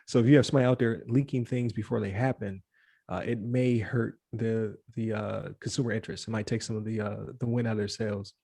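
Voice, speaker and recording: A man's voice: lowish voice, fairly even intonation, a little fast, creaky, warm tone, no echo, clean studio sound. A man co-hosting a casual podcast chat, joking and rambling with lots of fillers and restarts. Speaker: podcast co-host.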